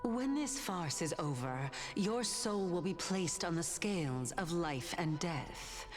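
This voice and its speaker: An actress playing an imperious, otherworldly judge of souls in a video game, speaking in a stately, formal, taunting way. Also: female voice, lowish voice, animated, slow pace, music in the background. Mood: solemn, commanding. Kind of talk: scripted video game voice acting.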